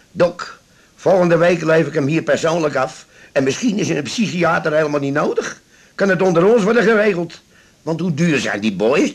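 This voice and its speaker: A man's voice: fairly high pitch, breathy edge. An actor playing a boastful, bickering working-class father, colloquial and grumbling, in a comic radio drama.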